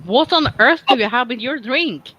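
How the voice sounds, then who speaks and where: A bright, forceful voice with a clear Swedish accent belongs to a person who chats loosely and wanders off mid-thought. The talk is playful and teasing, full of restarts and self-corrections, in a relaxed online hangout. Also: high-pitched, normal speed, lo-fi sound. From Sweden. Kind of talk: casual chat.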